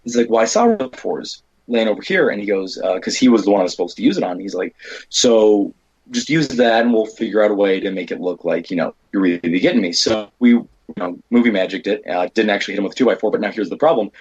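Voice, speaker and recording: An American man with a low voice and a husky edge talks casually as an interview guest. He rambles and acts out bits of dialogue as he goes. The audio is dull and lo-fi. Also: quick pace. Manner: rambling, animated retelling with quoted dialogue. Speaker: guest, indie horror filmmaker and stunt performer.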